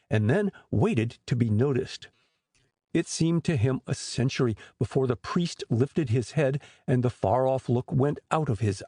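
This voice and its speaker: A man narrating a storybook audiobook in an expressive storytelling manner. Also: mid-range voice, no room sound, studio quality.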